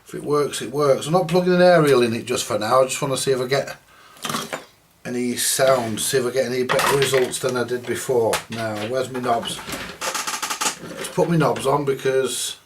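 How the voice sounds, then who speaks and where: Male repair-video host, medium pitch, casual and halting, thinking aloud at the workbench; noisy home-style recording. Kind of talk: casual hands-on workshop commentary.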